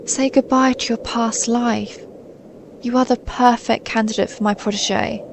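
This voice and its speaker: Casting: actress for an ancient snow maiden in audio roleplay; stoic, melancholy, soothing, even intonation, creaky voice. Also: female voice, a little high, noisy background. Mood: gentle, reflective. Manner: soothing, formal, measured, solemn. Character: centuries-old female snow maiden, gentle, stoic, melancholy guardian spirit. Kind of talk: scripted audio roleplay, intimate monologue to listener.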